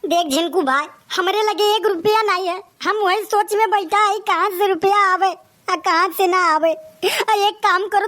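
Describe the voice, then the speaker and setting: Casting: woman as pushy young gangster boy for comic cartoon, Awadhi accent, piercingly high and bright, full of swagger. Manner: bragging, pushy. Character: scheming, boastful young male village wannabe gangster.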